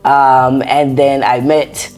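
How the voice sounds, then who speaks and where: Animated American woman telling her story. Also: very deep voice, takes it slowly, soft background music. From United States. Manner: animated, repeats words, laughs often. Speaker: interview guest, former soldier. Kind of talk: casual conversational storytelling.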